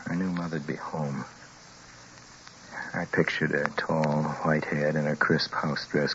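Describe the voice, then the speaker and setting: A man playing a frightened, haunted young traveler in a 1940s radio drama, speaking with halting, dramatic intensity. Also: a bass voice. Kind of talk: 1940s radio drama acting, first-person narration. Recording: muffled, noisy old radio recording.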